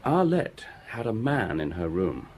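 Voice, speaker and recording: A man's voice: fairly low pitch, big pitch swings, measured pace, darkish tone, a little hiss or hum. An actor playing a diligent local policeman in a radio drama, reporting in a dry, matter-of-fact way. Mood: dry, confiding.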